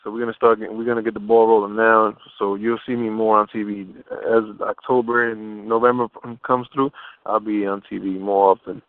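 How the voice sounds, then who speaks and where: A man's voice: lowish voice, little pitch movement, fairly quick, no echo. A man giving a casual interview as a guest over the phone, talking informally with slang and rephrasing himself as he goes. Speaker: interview guest, fashion model. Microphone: telephone. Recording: muffled narrow phone line.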